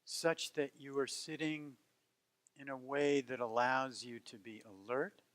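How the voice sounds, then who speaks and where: Male meditation guide, medium pitch, gentle and unhurried, leaves long silences between phrases. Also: steady tone. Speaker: meditation teacher.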